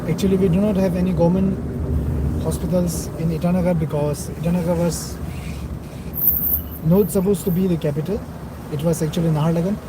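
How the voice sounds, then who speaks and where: A young man with a husky, dry voice gives rambling, self-correcting commentary while driving through a city at night. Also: on the high side, fairly even intonation, fairly quick, pauses often. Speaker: vlogger. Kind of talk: casual narrated drive vlog. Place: in a car on a city road at night. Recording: loud road and car noise. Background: traffic and engine noise.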